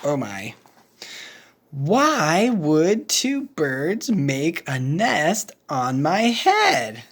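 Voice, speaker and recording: A woman's voice: low-pitched, wildly swooping pitch, very slow, slightly rough, exaggerated voice. A woman voicing a reluctant, fretful elephant in a picture book, with exasperated, dramatic delivery. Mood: worried.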